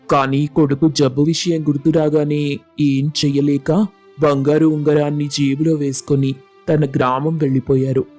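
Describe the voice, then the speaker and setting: Honest young villager for a storybook tale, played by a man: humble, respectful, higher male pitch, very even intonation, faint music beneath. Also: lightly acted. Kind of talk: acted moral storybook dialogue.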